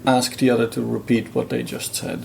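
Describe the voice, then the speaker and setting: A man's voice: mid-range voice, flat delivery, brisk, vocal fry, a little mellow, slight background noise. A man whose first language is Dutch, explaining research in a thoughtful conversational way, with many fillers and restarts. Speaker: guest researcher.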